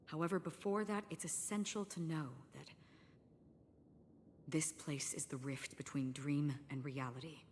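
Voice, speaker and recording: An actress playing a composed, serious woman guide in a video game, speaking in a measured, formal, explanatory way. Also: deep voice, steady tone, a little hiss or hum. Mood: grave, explaining. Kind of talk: scripted video game story dialogue. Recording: long reverb, background music.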